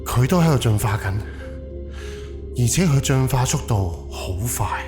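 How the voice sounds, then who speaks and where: A man's voice: medium pitch, animated, unhurried, over music, natural voice. A man with a Cantonese accent playing a wary, hot-tempered haunted house owner in an audio drama, muttering bluntly to himself. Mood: grim, reasoning.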